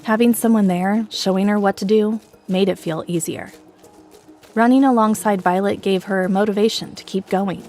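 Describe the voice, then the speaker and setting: A woman's voice: medium pitch, fairly even intonation, moderate pace, darkish tone. A woman narrator reading a scripted, dramatic story with music behind her.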